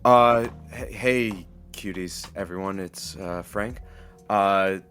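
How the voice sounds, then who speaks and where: An actor playing an awkward, hesitant radio sidekick filling in as the announcer in an audio drama. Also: male voice, deep voice, slow pace, a bit bright, soft background music. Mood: flustered. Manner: hesitant, fumbling. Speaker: radio producer and stand-in announcer.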